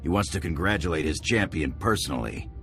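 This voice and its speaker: A man's voice: deep voice, animated, fairly bright tone, faint music behind. An actor playing a dignified male official of a warrior order with a formal manner in a video game. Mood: solemn approval. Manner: formal, measured.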